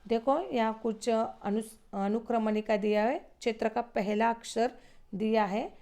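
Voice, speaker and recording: Slow gravelly-voiced woman teacher. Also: fairly high pitch, fairly even intonation, dark tone. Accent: Marathi-influenced Hindi. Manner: patient, explains each phrase in simple words; frequent hesitation fillers. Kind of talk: slow explanatory Hindi textbook lesson.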